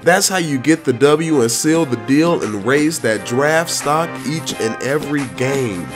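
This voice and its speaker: A man giving excited, slangy commentary on his own video game play, full of playful trash talk. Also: mid-range voice, unhurried, music in the background.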